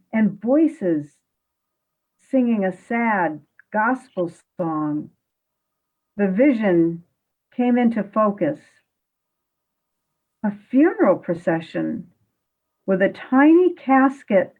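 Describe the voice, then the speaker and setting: Phone-like sound. Solemn female radio-play storyteller , acted, unhurried, with wide melodic swings. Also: medium pitch. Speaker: narrator of a radio style play. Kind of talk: scripted dramatic story narration. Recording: tinny, narrow phone-like line.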